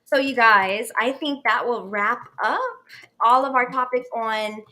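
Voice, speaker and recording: Late 20s woman hosting a chatty podcast, bright forward voice, pretty open and personal. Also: fairly high pitch, measured pace. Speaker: podcast host. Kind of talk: casual podcast chat.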